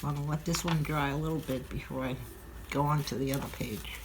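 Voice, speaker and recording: An older woman hosting a craft tutorial, chatting and thinking aloud as she works, sounding a little stuffy and hoarse from a cold. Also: low-pitched, steady tone, fast talker. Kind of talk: relaxed craft demonstration. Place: craft table at home, indoors. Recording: audible crinkling craft noise.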